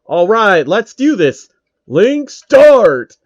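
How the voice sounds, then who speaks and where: Man putting on a whiny, high-pitched game streamer. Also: very animated, drawn-out words, no echo, highs cut off, full character voice. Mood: eager. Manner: complaining, indignant outbursts. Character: whiny, cocky, hot-tempered male game streamer.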